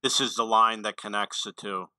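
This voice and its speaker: A man's voice: lowish voice, fairly even intonation, normal speed, pushed and bright, no room sound. A man giving casual, unscripted tutorial commentary on a video game in a matter-of-fact way.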